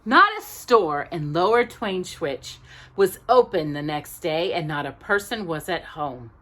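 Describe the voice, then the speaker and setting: A woman narrating a children's storybook expressively, with deliberate, drawn-out emphasis aimed at small children. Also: on the low side, big pitch swings, a bit bright.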